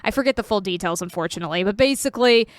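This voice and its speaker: A woman's voice: medium pitch, animated, very fast, no room sound. A woman co-hosting a podcast, talking in a casual, meandering way and telling stories. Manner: chatty, meandering storyteller. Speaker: podcast co-host. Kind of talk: casual chat.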